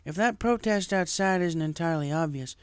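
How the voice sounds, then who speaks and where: A man's voice: on the high side, a little fast, clean tone, dry recording, clean studio sound, pitched above the performer's own voice, natural voice. A man voicing a plain-spoken, earnest young female farm pony in a cartoon fan story, folksy and direct.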